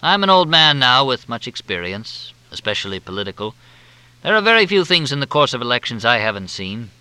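Man voicing an old retired reporter, bitter, weary, lively pitch, bright dry sound. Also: a little fast, clean tone, no room sound, low-quality recording. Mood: rueful.

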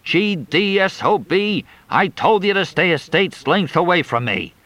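Narrator putting on an enraged old man yelling threats, high and strained. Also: lively intonation, measured pace, dry recording. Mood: furious. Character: furious lanky old man with shotgun.

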